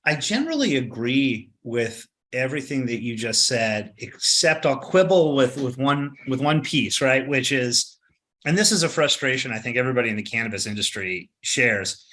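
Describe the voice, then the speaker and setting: A man's voice: medium pitch, lively intonation, normal speed, pushed and bright. A man answering as an interview guest, making his points emphatically and often ending them with "right?". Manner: emphatic, repeats words, says "right?". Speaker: company executive guest.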